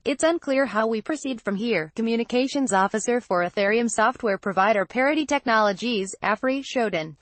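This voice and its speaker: A woman narrates a written news report in a formal, read-aloud manner. Her pitch sits in the middle range for a woman, and the sound is very dry, with no room around it. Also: no room sound. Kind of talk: scripted narration of a news article.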